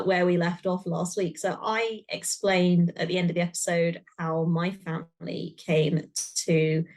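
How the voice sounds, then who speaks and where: A woman hosting a podcast, opening the episode in an upbeat, welcoming way and handing over to her co-host. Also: lowish voice. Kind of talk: casual podcast conversation.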